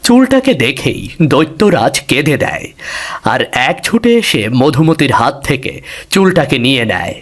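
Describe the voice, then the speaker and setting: A man's voice: on the high side, big pitch swings, moderate pace. A man narrating a scripted fairy tale for a cartoon.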